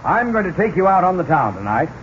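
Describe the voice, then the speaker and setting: An actor playing a jovial, teasing bank boss in 1940s radio comedy, with a hearty manner. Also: male voice, high voice, very animated, fast talker. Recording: muffled, noisy old radio recording.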